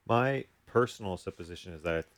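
A man's voice: low-pitched, lively intonation, fairly quick. A man hosting a podcast, explaining ideas casually and acting out example thoughts as he goes. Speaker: podcast host, therapist.

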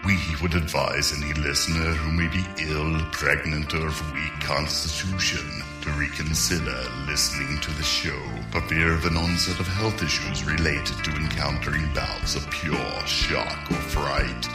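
A man voicing an ominous horror-show announcer, gravely and deliberately delivering a scripted mock warning. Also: a bass voice, fairly even intonation. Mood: grave, foreboding. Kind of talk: scripted horror intro narration. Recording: roomy echo over loud music. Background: loud music.

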